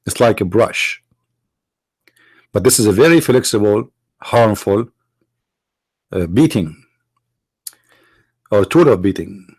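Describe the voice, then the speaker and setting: A man's voice: on the low side, expressive, slow pace, long pauses, a little mellow, husky, no room sound. Sarcastic Arabic-speaking man, dry close sound. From Middle East. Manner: sarcastic, mocking rhetorical questions. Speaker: apologist speaker. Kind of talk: argumentative lecture.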